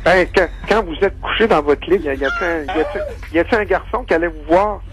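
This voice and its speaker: A man with a Quebec French accent touched with Italian, calling in over the phone to a radio show and asking a question in a hesitant, halting way. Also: on the high side, animated, a loud crowd. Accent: Quebec French with a slight Italian touch. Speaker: radio caller. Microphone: phone line.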